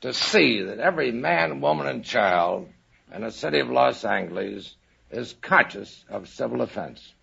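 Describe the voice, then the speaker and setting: An older American man giving formal, deliberate answers in a husky voice on an old 1950s radio recording. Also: medium pitch, expressive, a bit bright, dull audio. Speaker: retired admiral, quiz show contestant.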